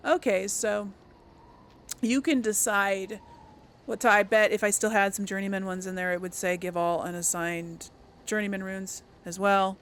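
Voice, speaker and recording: A woman's voice: medium pitch, steady tone, normal speed, a bit bright, smooth. A woman hosting a video game playthrough, commenting casually and thinking aloud as she goes. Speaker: gaming video host.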